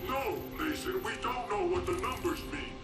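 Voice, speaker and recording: Casting: cold, insistent interrogator for game drama, fairly high male voice, heavily echoed and distant. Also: expressive, normal speed. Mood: cold, probing. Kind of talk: dramatic video game voice acting. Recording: echoey, distant voice with music behind.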